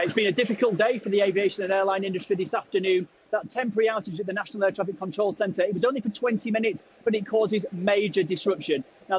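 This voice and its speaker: Male reporter, notably high voice, emphatic live delivery over dull, noisy airport audio. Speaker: transport correspondent. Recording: dull audio with clear background noise.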